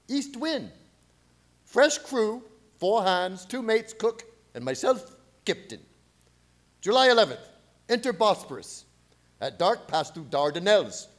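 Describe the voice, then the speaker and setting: A man playing a grim, fearful foreign ship captain in a radio play, reading his log in clipped, halting broken English. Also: high voice, exaggerated voice. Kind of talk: dramatic radio play narration.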